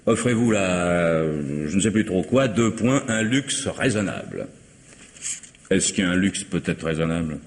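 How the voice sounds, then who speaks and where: An actor playing a wry, cheeky vagabond who scrounges drinks, with sardonic, drawling banter, in a vintage radio drama. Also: male voice, low-pitched, expressive, a little slow. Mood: sly. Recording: old radio recording, long reverb, faint hiss.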